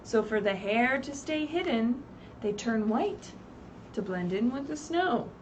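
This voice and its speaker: A woman reading aloud expressively at a children's story time, pausing to put simple questions to her young listeners. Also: on the high side, animated. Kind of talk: animated read-aloud with asides to young children. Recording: distant mic, faint background noise, livestream.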